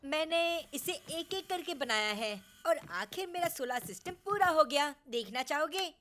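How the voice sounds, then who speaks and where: Very high, earnest little boy hero. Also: lively intonation, moderate pace, pushed and bright, slight background noise. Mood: excited. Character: cheerful little boy superhero in lizard costume. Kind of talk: animated children's cartoon dub. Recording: music under the voice. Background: cartoon music.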